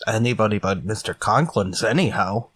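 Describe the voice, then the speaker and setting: A man's voice: lowish voice, moderate pace, a bit bright, breathy edge, no echo, clean studio sound. Man playing an easygoing, slightly dim schoolboy sidekick. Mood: amused. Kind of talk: old-time radio sitcom acting.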